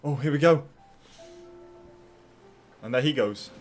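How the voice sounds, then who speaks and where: A video game streamer giving casual, soft-hearted, gushing reactions during play. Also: medium pitch, slow. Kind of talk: casual gameplay reaction commentary. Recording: game music behind the voice.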